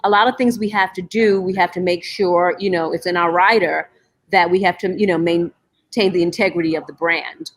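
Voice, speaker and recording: Woman, middle-aged, from Brooklyn, slightly low voice, chatty, lots of fillers, video call. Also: steady tone, moderate pace. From Brooklyn, New York, USA. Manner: conversational, leans on "you know" and "um". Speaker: guest, stage manager. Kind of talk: casual interview chat.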